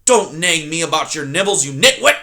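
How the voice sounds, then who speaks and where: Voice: medium pitch, big pitch swings, a little slow, bright, forceful tone, voice pushed up, heavily acted. A reader voicing a grumpy old male porcupine who bellows scornful insults, in a storybook reading.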